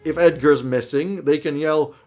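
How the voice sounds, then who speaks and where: Higher-pitched man, emphatic and conversational. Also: normal speed, a little mellow. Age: 30s. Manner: emphatic, opinionated. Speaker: host of tabletop roleplaying video channel. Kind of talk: conversational advice to camera. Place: small indoor home office.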